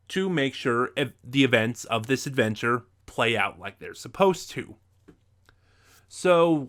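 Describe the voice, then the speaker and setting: A man's voice: mid-range voice, a bit bright. A man presenting a semi-scripted review to viewers as a video host.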